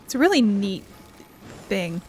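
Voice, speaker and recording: A woman's voice: medium pitch, big pitch swings, a little slow, darkish tone, faint music behind. A woman giving casual let's-play commentary in a rambling, playful, self-deprecating way. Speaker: gameplay streamer.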